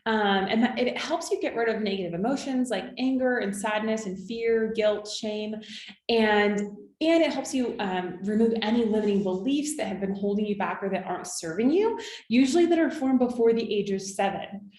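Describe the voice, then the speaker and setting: A woman's voice: medium pitch, moderate pace, darkish tone. Woman coach in an echoey room. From California. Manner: encouraging, checks in with frequent "okay?" and "right?". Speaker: business coach. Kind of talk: coaching talk to camera.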